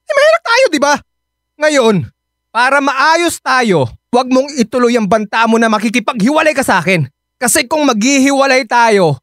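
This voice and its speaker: An actor playing a hot-headed, manipulative husband who argues and wheedles in a radio drama. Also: male voice, very high voice, a bit bright, no echo, clean studio sound, full character voice. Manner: argumentative, wheedling.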